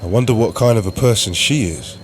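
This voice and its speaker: A man's voice: lowish voice, fairly quick, a little hiss or hum, voice pushed down, close to an everyday voice. A man playing a nervous, gossipy commuter muttering furtive thoughts to himself in a radio play. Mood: furtive, judging. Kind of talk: radio play inner monologue.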